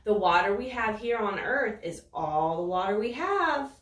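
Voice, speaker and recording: A woman reading a picture book aloud to children in a slow, expressive, sing-song storytelling voice. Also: mid-range voice, distant mic. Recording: roomy echo, voice set back from the mic.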